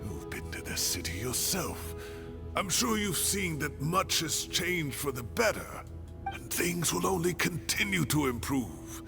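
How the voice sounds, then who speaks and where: An actor playing a persuasive male senior scholar in a video game, gravelly and formally pleading. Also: on the high side, very animated, measured pace, over music, heavily acted. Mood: earnest, regretful. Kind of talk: scripted video game dialogue.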